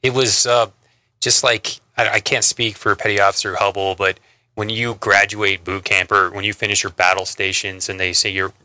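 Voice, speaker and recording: A male Navy sailor from Montana speaking in a heartfelt, slightly halting way about service and family. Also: fairly low pitch, flat delivery, pushed and bright, no room sound. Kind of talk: radio interview.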